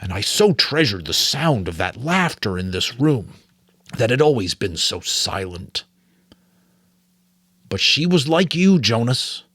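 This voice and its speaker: A man's voice: mid-range voice, a bit bright, no room sound, clean studio sound, voice pushed up. A man voicing a sorrowful, weary old mentor in a grave, faltering, earnest way, as in a storybook. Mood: grieving. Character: sorrowful, weary old male mentor confessing painful memories.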